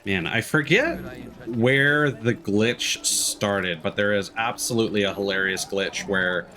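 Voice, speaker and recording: A man's voice: lowish voice, unhurried, sharp tone. A man giving casual, easygoing gameplay commentary as a streamer, musing aloud in an amused way. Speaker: game streamer. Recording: game music behind the voice. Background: video game music.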